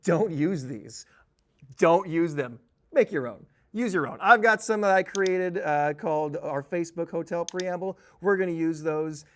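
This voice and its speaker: A man giving an informal software tutorial in a conversational, emphatic way with some joking. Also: on the high side, a little slow. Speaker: software trainer.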